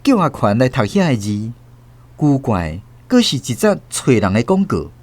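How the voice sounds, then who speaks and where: A man with a Taiwanese accent narrating a scripted story in a theatrical, expressive way. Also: medium pitch, moderate pace, dry recording.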